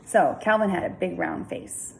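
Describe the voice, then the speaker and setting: A woman reads a children's story aloud in a chatty, informal way. Her voice sits a little low and swings expressively, with some fry at the edges. Faint noise runs behind her. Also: lively intonation, normal speed, a little mellow, poor audio. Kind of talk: reading a children's story aloud with casual asides.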